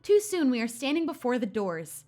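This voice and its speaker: A woman's voice: fairly high pitch, normal speed. A playful woman hosting a gaming video, reading story narration expressively and adding casual commentary. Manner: playful, animated. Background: background music.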